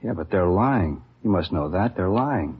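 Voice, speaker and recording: An actor playing an indignant, bewildered police officer who insists on his innocence in short, husky protests, in the style of old radio drama. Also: sits low, expressive, moderate pace, exaggerated voice. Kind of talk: 1950s radio drama acting. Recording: muffled old radio broadcast recording.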